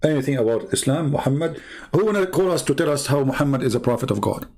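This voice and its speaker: Gravelly Arabic-accented man challenging callers. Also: mid-range voice, animated, quick pace, a little mellow. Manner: challenging, taunting, inviting callers. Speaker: online debate host. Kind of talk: live-streamed religious debate talk.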